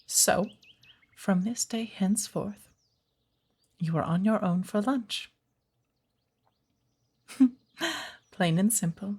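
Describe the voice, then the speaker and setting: A woman playing a patient, playful witch of the woods who gently teaches an apprentice in a soft ASMR roleplay, with a breathy voice. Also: medium pitch. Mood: patient, instructive. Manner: slow, measured, gently instructive.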